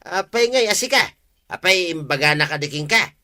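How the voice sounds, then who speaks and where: A man's voice: high-pitched, lively intonation, normal speed, bright, forceful tone, exaggerated voice. A man acting a proud, aggrieved old village watchman in an Ilocano comedy drama, with an Ilocano accent. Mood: indignant.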